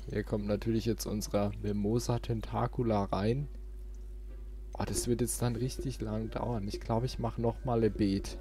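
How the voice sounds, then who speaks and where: A man's voice: on the low side, dark tone. A man giving casual, informal let's play commentary, often muttering to himself. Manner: informal, muttering self-talk. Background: game music.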